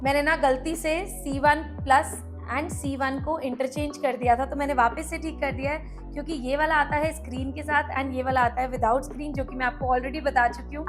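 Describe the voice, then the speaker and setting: A woman with an Indian accent hosting a casual product comparison, explaining things and mixing English words into her speech. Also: sits high. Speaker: product review host.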